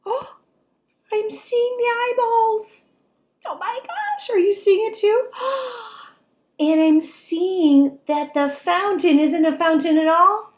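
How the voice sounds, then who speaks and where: A woman teacher reading a picture book aloud to children, expressive and coaxing, pausing to put questions to her young listeners. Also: extremely high pitch.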